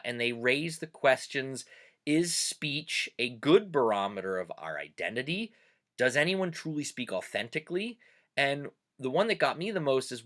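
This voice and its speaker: A man with a bright, forward voice talks through a casual monologue as host. His pitch swings widely, and he keeps a chatty, animated flow full of little "uh" pauses. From Pennsylvania Dutch country, USA. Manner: chatty and animated, frequent "uh" fillers. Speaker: host and teacher.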